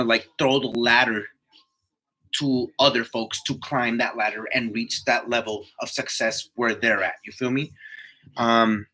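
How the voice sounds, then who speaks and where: A man's voice: mid-range voice, bright, forceful tone, rough recording. A man in his twenties chatting casually to live viewers in a slangy, motivational way, repeating himself for emphasis. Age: 20s. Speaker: livestream host, tree climber.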